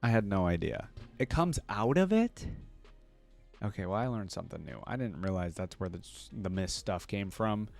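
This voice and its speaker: A man's voice: on the low side, animated, moderate pace, vocal fry, a little mellow. A man hosting a reaction video, rambling conversationally and musing aloud in casual commentary. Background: game music.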